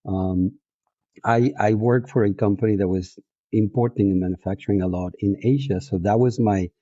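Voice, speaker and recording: Husky Colombian-accented man, very dark voice. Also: lowish voice, moderate pace, dry recording. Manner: reflective, frequent restarts and fillers, laughs easily. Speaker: guest. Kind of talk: casual interview chat. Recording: dull, muffled audio.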